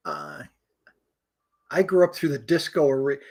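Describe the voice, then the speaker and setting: A man's voice: on the high side, huge pitch swings, a little slow, darkish tone. An older man from Chicago co-hosting a casual chat show, rambling with fillers and restarts. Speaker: co-host.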